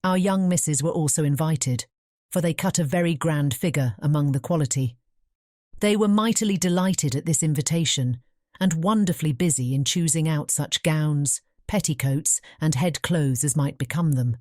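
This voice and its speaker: A woman with a British English accent narrating a fairy tale audiobook in a measured storytelling manner. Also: low-pitched, a little mellow, no room sound, studio quality.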